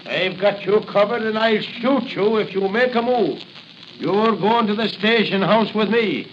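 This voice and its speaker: A man's voice: high-pitched, a little slow. A man playing a blunt, blustering police officer in 1940s radio acting style. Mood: tough, suspicious. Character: blustering, gruff-talking male police officer. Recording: muffled, hissy 1940 radio recording.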